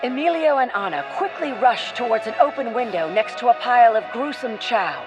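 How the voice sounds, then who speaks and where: A woman's voice: fairly high pitch, expressive, a little fast, over music, lightly acted, poor audio. A woman reading scripted, dramatic story narration as the narrator of a radio play.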